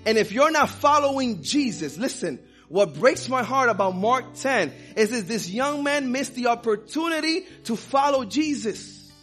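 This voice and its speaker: High-voiced man preaching with passion. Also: animated, normal speed, few pauses, fairly bright tone, faint music behind. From Dominican Republic. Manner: impassioned preaching with personal stories. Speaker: pastor. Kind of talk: sermon.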